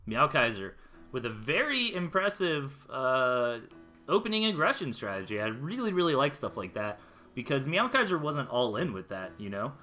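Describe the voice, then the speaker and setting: American man, esports caster, very bright forceful voice, casual slangy commentary, conversational, faint guitar music behind. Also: medium pitch, very animated, moderate pace. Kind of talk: live game commentary, casual.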